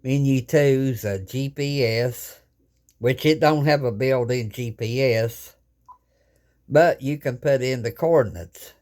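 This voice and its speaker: Slow-talking folksy ham radio man. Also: mid-range voice, a little mellow. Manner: rambling, folksy, plain-spoken with many restarts. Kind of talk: casual show-and-tell of radio gear. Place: home radio desk, indoors.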